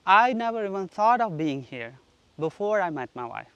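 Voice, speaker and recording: Earnest man from Pakistan, higher voice. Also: very animated, normal speed, a bit bright, clear, resonant tone, no echo, muffled recording. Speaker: spiritual teacher. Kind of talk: solo spoken teaching on manifestation. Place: flat.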